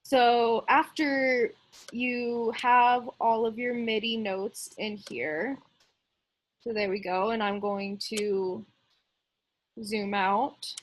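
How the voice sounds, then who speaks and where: A woman with a slightly high-pitched voice leads a music production tutorial. She explains each step casually and informally, thinking out loud as she demonstrates on screen. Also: low-quality recording.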